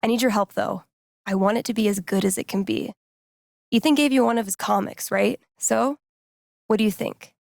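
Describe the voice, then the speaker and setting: Young female game character, played by an actress: wry, playful friend and DJ type, casual delivery, mid-pitched with some fry, close dry recording. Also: normal speed, dry recording, lightly acted. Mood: warm, earnest. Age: young adult. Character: wry young woman, teasing, loyal friend and radio DJ. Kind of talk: naturalistic video game dialogue acting.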